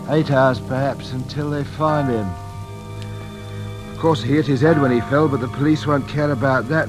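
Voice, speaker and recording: A man playing an anxious, respectable gentleman with a secret convict past, agitated and muttering in an old-time radio drama. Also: mid-range voice, natural voice, rough recording. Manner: agitated, muttering, repeating himself. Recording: vintage radio drama recording.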